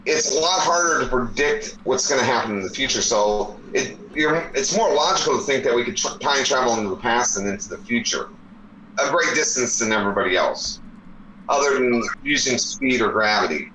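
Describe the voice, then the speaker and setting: A man's voice: mid-range voice, animated, a little fast, sharp tone. A man in his forties in a casual debate chat, explaining his points at length. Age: 40s. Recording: roomy echo.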